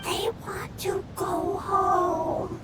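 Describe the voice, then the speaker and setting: Squeaky, very slow little creature. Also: female voice, level tone, very noisy, heavily acted. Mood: pleading. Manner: short, slow, simple phrases. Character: tiny, simple, childlike creature, meek and eager. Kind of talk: video game voice acting. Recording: loud game music behind the voice.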